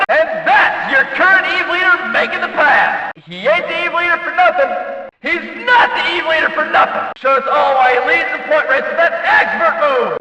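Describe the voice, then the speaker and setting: Very high-pitched, excitable race announcer. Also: male voice, exaggerated voice. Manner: emphatic, dramatic, shouting calls. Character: excitable male race announcer calling a demolition derby. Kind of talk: hyped race commentary. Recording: echoey hall, distant from the mic.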